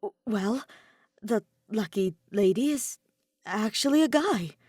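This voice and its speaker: A woman's voice: medium pitch, lively intonation, slow pace, darkish tone, husky, dry recording. A shy teenage boy, played by a woman narrator, awkward and flustered. He speaks hesitantly in an audio story, with a close, dry sound. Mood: embarrassed.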